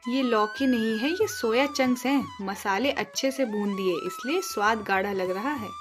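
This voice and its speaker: A woman's voice: fairly high pitch, moderate pace, over music, close to an everyday voice. A woman voicing an honest, concerned wife in a children's story, plain-spoken and earnest. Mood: matter-of-fact.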